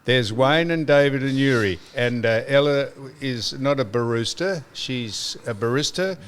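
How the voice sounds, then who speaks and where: An older Australian man hosting a podcast, chatting in a rambling, storytelling way and giving listeners shout-outs. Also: mid-range voice, measured pace, a little hiss or hum. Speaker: podcast host. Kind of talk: casual chat.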